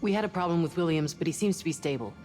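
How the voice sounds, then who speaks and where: A woman's voice: lowish voice, rapid-fire, darkish tone, lightly acted. A woman playing a caring, lightly teasing ship's doctor in a sci-fi game. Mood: concerned. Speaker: actor in a video game. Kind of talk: cinematic sci-fi game acting. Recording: long hall reverb, faint music behind.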